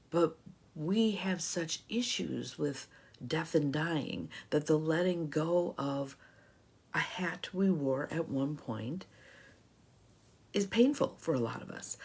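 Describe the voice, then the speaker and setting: A woman's voice: lowish voice, a little mellow, slightly rough. A middle-aged woman life coach hosting a live talk, reflective and encouraging, greeting viewers by name.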